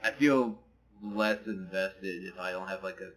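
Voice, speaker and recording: A man's voice: sits low, unhurried, a bit bright, low-quality recording. A man co-hosting a podcast in a casual chat, rambling and hesitant, full of fillers. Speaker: podcast co-host. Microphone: podcast microphone.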